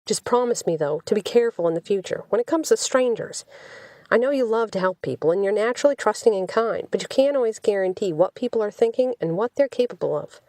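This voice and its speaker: A woman's voice: medium pitch, expressive, quick pace, dark tone, no echo, studio quality, natural voice. A woman voicing an anxious, protective young man in an audiobook, with an earnest, pleading manner. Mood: earnest, worried.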